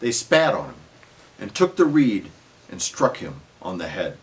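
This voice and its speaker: A man's voice: fairly low pitch, big pitch swings, unhurried. A man giving a scripted dramatic scripture reading as narrator, in a solemn, emphatic storytelling manner.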